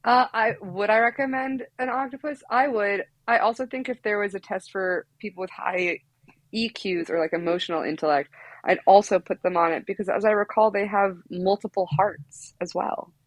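A woman's voice: medium pitch, fairly even intonation, moderate pace, no echo, rough recording. Giggly New woman guest. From New York. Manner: playful, giggly, rambling. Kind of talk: casual comedic podcast chat.

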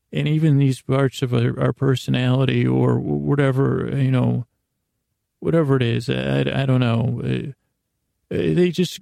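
A man hosting a podcast in a meandering, halting bedtime monologue, repeating words and drifting into tangents. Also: medium pitch, mellow, dry recording, studio quality. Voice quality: gravelly, creaky. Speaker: podcast host. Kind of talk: rambling bedtime monologue for sleepless listeners.

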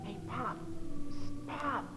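A performer playing an eager, excitable American boy in a 1950s radio comedy, earnest and conspiratorial. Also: sits high, slow. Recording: muffled, noisy echoey 1950 radio recording.

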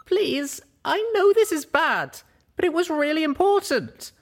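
A man voicing a young female unicorn, earnest and guilt-ridden, speaking in a high pleading voice. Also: lively intonation, unhurried, heavily acted.

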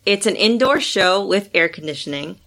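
A woman's voice: medium pitch, expressive, normal speed, a bit bright. A woman on a podcast, casually and cheerfully giving theme park advice. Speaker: guest. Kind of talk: casual chat.

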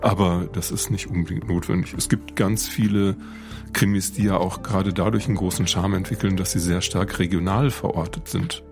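A man with a calm, sonorous voice explains things as an expert interviewee in an articulate, academic way. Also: low voice, a little fast, music in the background. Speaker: crime fiction expert being interviewed.

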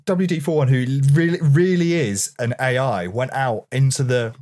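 A man's voice: medium pitch, moderate pace, a little mellow. A man co-hosting a podcast, reading interview questions aloud in a mock-formal way and chatting casually.

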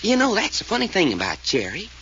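An actor playing an earthy, puzzled cowboy detective with a Southwestern American drawl in a 1940s radio serial. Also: male voice, sits high, wildly swooping pitch, fast, pushed and bright, heavily acted. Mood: curious. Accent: American South-western. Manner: folksy, drawling, stammering when puzzled. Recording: muffled, noisy old 1940s radio recording.

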